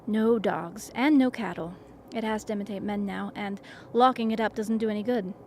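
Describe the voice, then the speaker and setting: An actress playing a worried, wary member of an isolated research crew in a radio play, brooding and deliberate. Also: female voice, medium pitch, darkish tone, lightly acted. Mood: worried, firm. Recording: clear background noise.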